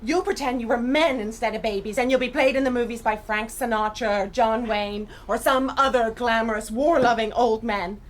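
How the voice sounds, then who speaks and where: A woman's voice: a little high, fairly even intonation, moderate pace, few pauses, lightly acted. An actress playing a blunt, indignant anti-war mother with a pointed, reproachful delivery in a radio drama.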